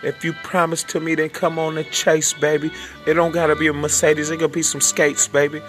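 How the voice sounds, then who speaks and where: A man with a raspy, scratchy voice freestyles, half singing and half rapping in slow, drawn-out lines with loose ad-libs. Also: on the high side, monotone. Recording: loud backing music under the voice.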